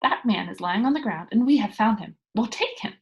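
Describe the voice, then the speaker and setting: A woman's voice: medium pitch, lively intonation, brisk, close to an everyday voice. A woman voicing a bold, cheerful young girl adventurer from a children's storybook, with a breezy, boastful manner. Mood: confident. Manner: breezy, boastful, matter-of-fact. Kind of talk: children's storybook character read aloud.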